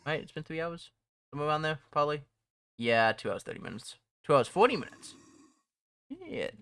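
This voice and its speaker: A young man talks loosely on a home live stream, drifting between topics and laughing as he goes. His voice is bright and forward. The mic sounds close and dry, with almost no room sound. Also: on the high side, wildly swooping pitch, a little fast, vocal fry, clean tone, dry recording. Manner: rambling, laughs often, restarts phrases. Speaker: streamer and mod developer. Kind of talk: casual live-stream chat.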